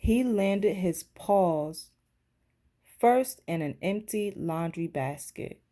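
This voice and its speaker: A woman's voice: mid-range voice, animated, slow, dark tone. A woman reading a children's picture book aloud as a teacher would. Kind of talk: children's storybook narration.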